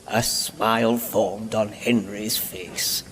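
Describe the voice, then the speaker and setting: A man's voice: fairly low pitch, fairly even intonation, slow pace, noisy background. A man narrating a scripted children's-style story as a storyteller. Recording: loud music behind the voice.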